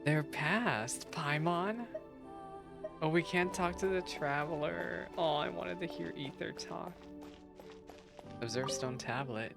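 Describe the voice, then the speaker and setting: A woman giving casual, excitable playthrough commentary as a game streamer, full of exclamations. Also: low voice, slow, creaky, long pauses. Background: game music.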